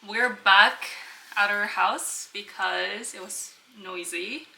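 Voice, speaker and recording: A woman's voice: medium pitch, slow, gravelly, pushed and bright, recorded from a distance, a little hiss or hum. A woman in her twenties, a native Japanese speaker, hosting a casual vlog interview, chatty and easygoing. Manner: chatty, mixes Japanese and English. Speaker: host. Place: home, indoors. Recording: echoey room with music underneath.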